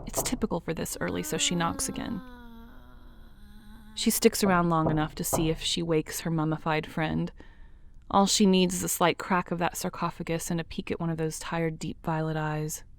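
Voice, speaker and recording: A woman reading scripted narration for an audio drama, in a lively, expressive way. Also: on the low side, a little hiss or hum.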